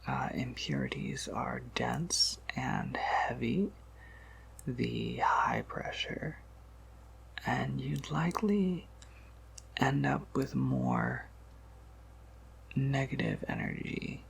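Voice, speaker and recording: Man playing a whispering, creaky, gentle vampire shopkeeper. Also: huge pitch swings, very slow, pauses often, exaggerated voice. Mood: musing, modest. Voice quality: breathy whisper breaking into creaky low murmur. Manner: gentle, considerate, hesitant with small asides. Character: soft-spoken, polite, slightly scatterbrained male vampire apothecary shopkeeper. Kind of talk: whispered ASMR roleplay addressed to the listener.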